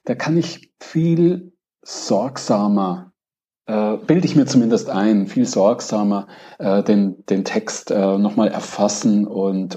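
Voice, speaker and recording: A man's voice: on the low side, dark tone, dull audio. A man speaking as a guest author in a casual podcast, thoughtful and hesitant, often restarting his sentences.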